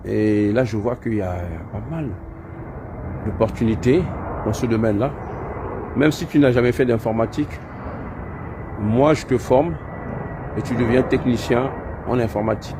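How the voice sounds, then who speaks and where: A man's voice: medium pitch, normal speed, long pauses. A man, an IT trainer, giving an informal promotional address in hesitant, repetitive phrases. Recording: faint background noise.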